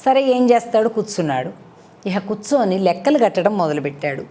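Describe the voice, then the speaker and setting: A woman tells a moral story as a devotional storyteller, in an expressive, conversational way with rhetorical questions. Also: mid-range voice, brisk. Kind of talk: animated moral storytelling to an audience.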